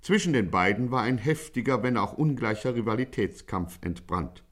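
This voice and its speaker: An actor playing a pompous, mock-heroic narrator in a 1950s radio play, declaiming dramatically. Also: male voice, medium pitch, expressive, moderate pace, a bit bright. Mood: grandly ironic. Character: pompous mock-heroic male chronicler of a gangster.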